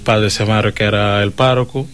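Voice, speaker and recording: A man from Verde, a seminarian, telling his vocation story with many pauses and humming fillers. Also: lowish voice, fairly even intonation, a bit bright. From Cape Verde. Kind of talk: personal testimony.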